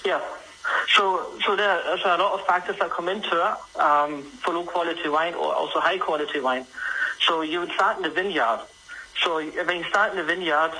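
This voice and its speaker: A man with a fairly high, bright voice speaks as an expert guest on a radio phone-in. He explains things casually over a call with faint noise. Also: low-quality recording. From Germany, Scottish family. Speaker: radio guest, freelance wine consultant and sommelier. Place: remote call to a radio show.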